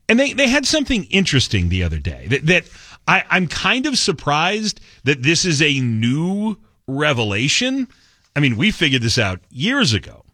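A man's voice: medium pitch, fairly bright tone, no echo. A man hosting a conservative talk radio show, delivering a sarcastic monologue and mocking other outlets in put-on quotes, recorded on a studio mic.

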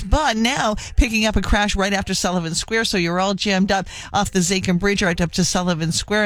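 A woman traffic reporter delivering a live radio traffic report in a brisk, conversational way. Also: mid-range voice, no echo.

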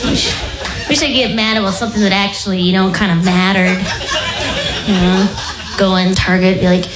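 A woman doing stand-up, shifting from deadpan talk into shrill mock voices. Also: brisk. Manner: deadpan then shrill mock voices, laughs at own bits. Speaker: stand-up comedian. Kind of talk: stand-up routine. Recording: live set in an echoey room with noise.

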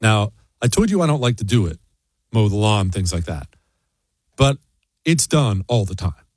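A man's voice: lowish voice, very animated, normal speed, a little mellow, slightly rough, dry recording, clean studio sound. A man hosting a talk show, delivering blunt, mocking opinion segments and answering listener emails.

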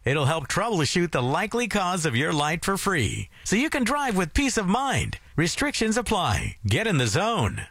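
Punchy high-pitched radio ad announcer. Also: male voice, wildly swooping pitch, normal speed, hardly any pauses, fairly bright tone, no room sound, clean studio sound. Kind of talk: scripted radio ad read.

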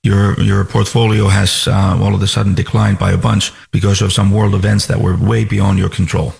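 A man from Finland, a financial advisor speaking as a guest on a radio talk program, measured and full of restarts and fillers. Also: on the low side, level tone, vocal fry, darkish tone.

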